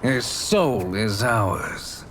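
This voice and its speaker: An actor playing a cunning, self-satisfied sorcerer villain in a video game, sardonic and menacing in manner. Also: male voice, on the low side, lively intonation, very slow, over loud music. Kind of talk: video game cutscene acting.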